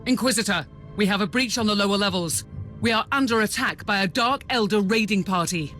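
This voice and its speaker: An actor playing an anxious, formal starship captain in a video game, reporting with urgency. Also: male voice, high-pitched, fairly quick, bright, forceful tone, faint music behind. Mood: alarmed. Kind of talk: dramatic video game acting.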